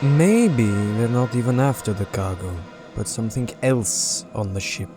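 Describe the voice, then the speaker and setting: A man voicing a calm, hulking alien crewman in a sci-fi comic dub, measured and dry. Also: fairly low pitch, music in the background. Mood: thoughtful. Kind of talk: comic dub character acting.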